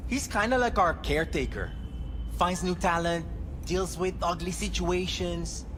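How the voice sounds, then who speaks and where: An actor playing a flighty, casual club worker in a video game, speaking in a hesitant, vague way. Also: male voice, high-pitched, measured pace, noisy background. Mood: casual, gossipy. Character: flighty, casual male doll working at a club. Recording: reverberant room, music behind.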